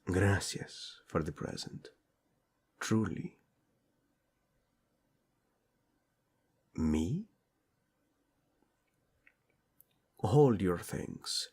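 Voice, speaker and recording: Husky, low-voiced romantic prince, acted by a man. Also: sing-song, slow pace, full character voice. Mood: grateful, earnest.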